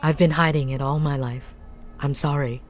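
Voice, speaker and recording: A woman's voice: sits low, steady tone, moderate pace, faint music behind, lightly acted. An actress playing an anxious, polite woman in a 1950s noir game, speaking in an earnest, apologetic way. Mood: confessing, sad. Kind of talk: dramatic game dialogue.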